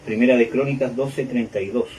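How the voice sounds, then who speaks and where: A man's voice: mid-range voice, fairly even intonation, brisk, darkish tone. A man in a conversational Bible discussion, speaking tentatively and qualifying his own statements. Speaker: discussion participant. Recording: distant mic, people clearly heard around.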